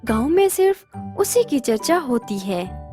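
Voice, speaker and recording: Slow, high-voiced woman storyteller. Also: big pitch swings, loud music behind the voice. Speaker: storyteller narrator. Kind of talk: scripted children's story narration.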